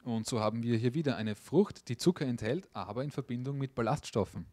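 A man interpreting a cooking class, speaking in a steady, matter-of-fact way in a classroom. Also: mid-range voice, brisk, no room sound. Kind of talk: consecutive interpreting into German.

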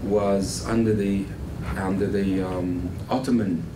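A man's voice: low-pitched, fairly even intonation. Man, guest lecturer, many fillers, distant mic, background noise. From Palestine. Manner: measured, many fillers, gestures with both hands. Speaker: guest speaker. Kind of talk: lecture to a college class. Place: classroom with a blackboard. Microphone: far camera. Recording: echoey classroom from far off.

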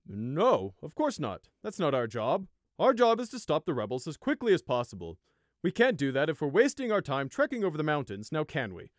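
A smug unicorn border guard, as a man reading a storybook puts him on, drawling each word loudly and slowly. The voice sits higher than the reader's own and sounds dry. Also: wildly swooping pitch, no echo. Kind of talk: audiobook character voice.

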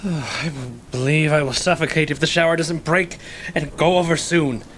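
Man acting a higher-voiced, uneasy ex-convict. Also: steady tone, moderate pace, fairly bright tone, a little hiss or hum, natural voice. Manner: wary, questioning, restless. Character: uneasy, guarded male ex-convict, defensive and suspicious. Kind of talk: stage play acting.

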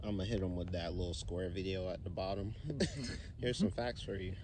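Deep-voiced young man joking outdoors. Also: moderate pace. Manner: joking, slangy, laughs often. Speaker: friend on the walk. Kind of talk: casual chat among friends. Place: outdoors on a windy beach trail. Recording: loud wind noise on a phone mic.

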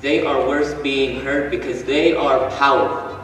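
A man reciting a spoken word poem on a school stage in a slow, measured, declamatory way. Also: mid-range voice, steady tone, fairly bright tone. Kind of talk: spoken word poetry performance. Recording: echoey hall from far off, music behind.

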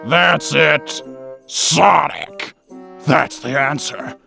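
Aging cartoon mastermind played by a man: theatrical, self-important, gravelly delivery, music underneath. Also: fairly high pitch, very slow, pushed and bright, heavily acted. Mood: excited, scheming. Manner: theatrical, grandiose. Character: pompous aging male evil genius villain. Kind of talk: comic dub voice acting.

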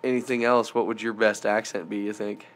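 A man's voice: on the low side, level tone, a little fast. A man from Montana co-hosting a podcast, chatting casually and teasing his friend with frequent laughs. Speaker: podcast co-host. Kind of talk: casual chat.

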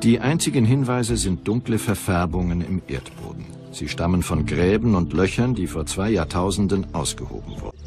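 A man with a very deep voice narrates a history documentary. He is serious and measured, telling events dramatically over a clear music bed. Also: animated. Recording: music behind the voice.

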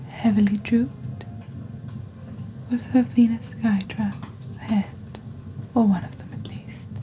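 A woman voicing a witch who presents magical wares in soft-spoken, breathy, deliberate ASMR style, close to the microphone. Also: mid-range voice, close to an everyday voice, low-quality recording. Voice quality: breathy, whispery. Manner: slow, deliberate, hushed storytelling. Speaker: ASMR performer as shopping channel presenter. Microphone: close desk microphone.

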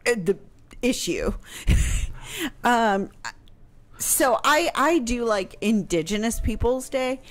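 A woman's voice: fairly high pitch, expressive, slow pace, long pauses. An American woman in her thirties co-hosting a casual radio discussion, close on a studio mic. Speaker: radio show co-host. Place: room in a home, indoors. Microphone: studio mic on boom arm with headset.

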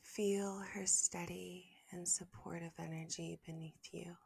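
A woman leading a guided meditation as host, speaking softly and gently in a breathy half-whisper with long, soothing pauses. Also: lowish voice, level tone, no echo.

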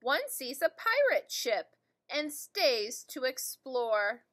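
Middle aged lady teacher reading a storybook to kids, slow n singsong, really bright voice. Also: high voice, very animated. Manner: singsong, deliberate, addressing young children. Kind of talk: children's picture book read-aloud. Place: indoor school classroom.

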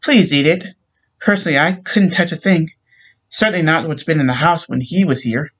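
A man voicing a grieving young wife who is sweetly persuasive, soft and overly polite. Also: fairly high pitch, moderate pace, husky, pitched above the performer's own voice. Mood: pleading.

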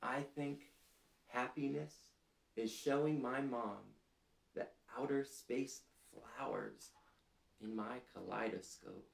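Slow-paced man reading to children. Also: mid-range voice, animated, gravelly. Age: 30s. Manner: gentle, deliberate, addressing young children. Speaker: storytime reader for children. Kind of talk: children's picture-book read-aloud with questions to listeners. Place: small indoor living room, seated. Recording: roomy echo.

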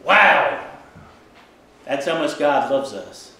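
A middle-aged man, a pastor preaching a folksy, animated sermon in a small church. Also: medium pitch, slow pace. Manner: folksy, animated, gesturing widely while preaching. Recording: echoey church room.

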